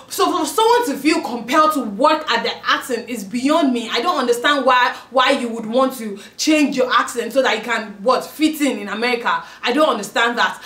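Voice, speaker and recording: A woman's voice: on the high side, a bit bright. A young woman with a Yoruba Nigerian accent gives her opinion to camera in an earnest voice and presses her point with pointed rhetorical questions. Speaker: YouTube vlogger. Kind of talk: opinion video monologue. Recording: echoey room, mic at a distance.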